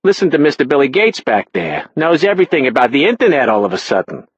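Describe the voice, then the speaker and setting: A man's voice: a little high, sing-song, quick pace, a little hiss or hum, voice pushed up. A man reading an audiobook, voicing a chatty, self-absorbed middle-aged mother with a breezy, whiny manner. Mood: breezy teasing. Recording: slightly dull, dry sound.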